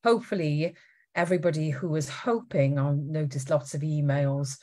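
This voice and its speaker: A woman from Wales whose Welsh accent has softened over the years. She hosts a casual live stream and talks in a chatty, lively way, greeting viewers and reading out their comments. She is speaking into a webcam or phone mic. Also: low-pitched, normal speed. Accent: faint Welsh. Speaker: founder hosting a live stream.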